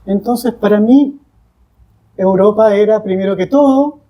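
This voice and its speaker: A man talking reflectively as a podcast guest, deliberately, with pauses between short phrases. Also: sits high, dull audio. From Chile. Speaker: guest, English teacher.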